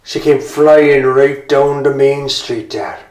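A storyteller voicing a talkative village publican in a lower voice. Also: steady tone, takes it slowly, darkish tone, lightly acted. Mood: gossipy. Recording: roomy echo.

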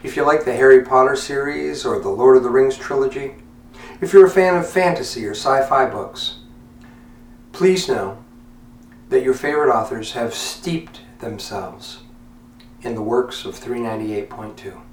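A man with a mid-pitched voice hosts a storytelling podcast. His delivery is reflective and deliberate, with measured phrasing. He sounds set back from the microphone in a room with a strong echo. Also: slight background noise. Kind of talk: reflective podcast talk with read-aloud essay. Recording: echoey room, distant from mic.

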